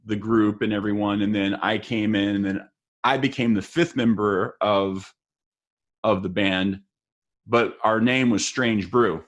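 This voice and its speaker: A man's voice: fairly low pitch, steady tone, unhurried. Rambling, self-mocking middle-aged man. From West Texas. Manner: rambling storyteller, laughs at himself. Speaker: host. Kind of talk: casual interview chat.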